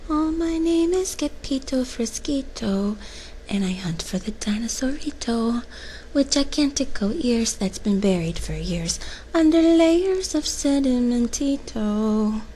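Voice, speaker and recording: A woman's voice: medium pitch, sing-song, measured pace, gravelly. A woman voicing a swaggering kitten who poses as a heroic Chihuahua, grandiose, with a mock Spanish accent. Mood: soft singing. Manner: grandiose, dramatic.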